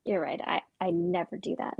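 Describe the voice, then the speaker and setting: A woman's voice: on the low side, normal speed, vocal fry, breathy edge. A woman playing a weary, wry, guarded young suburban woman in a dramatic script reading, speaking in a dry, understated way.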